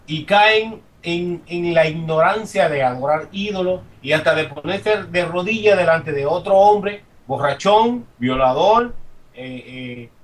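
Dominican man, higher-than-average voice, emphatic off-the-cuff faith commentary, slightly distant microphone. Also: lively intonation, measured pace. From Dominican Republic. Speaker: congregant giving comments. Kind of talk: impromptu religious testimony.

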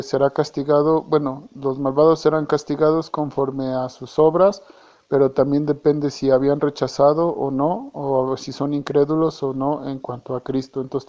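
A man's voice: on the high side, warm tone, dull audio. A Mexican man from Mexico leaving a casual voice message, hesitant, often repeating and correcting himself. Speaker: church member.

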